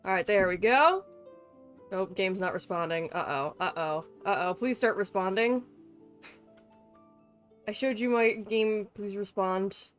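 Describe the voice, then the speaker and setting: A female streamer chats informally over background music at a middling pitch. She rambles along playfully, teasing and swearing freely as she goes. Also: takes it slowly, pauses often. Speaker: livestreamer. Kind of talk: casual gaming stream chat.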